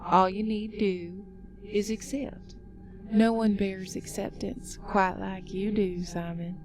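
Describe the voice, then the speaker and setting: A woman voicing a sly, soft-spoken female spider from another world, gentle and wheedling. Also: mid-range voice, flat delivery, slow, over music, close to an everyday voice, low-quality recording. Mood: coaxing. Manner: gentle, wheedling, playful then hushed. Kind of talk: horror story voice acting.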